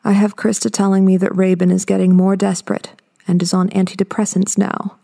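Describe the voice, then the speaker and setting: Woman acting tired fifty-something lady ghost investigator, gravelly mellow voice, slow careful report, audio drama. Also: medium pitch, level tone. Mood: measured, grave. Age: 50s. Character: weary woman investigator of hauntings, early fifties, uneasy. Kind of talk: audio drama monologue, reading a written report.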